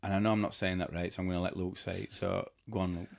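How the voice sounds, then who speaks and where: A man in his fifties hosting a casual podcast interview in a cluttered home studio, talking haltingly with many fillers and restarts. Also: deep voice, level tone, gravelly, no echo. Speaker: podcast host. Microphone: studio mic with headphones.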